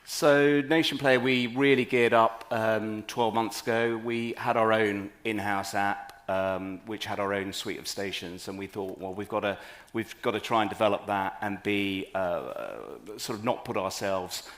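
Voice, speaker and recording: A man's voice: lowish voice, fairly even intonation, a little slow, fairly bright tone. A man on a conference panel talking about the radio group he founded, wry and given to hesitant restarts.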